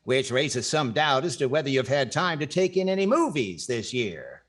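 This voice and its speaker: A man's voice: medium pitch, very animated, moderate pace, fairly bright tone. An older man with an American accent acting a wry, wordy, playfully pompous host in 1940s radio comedy style.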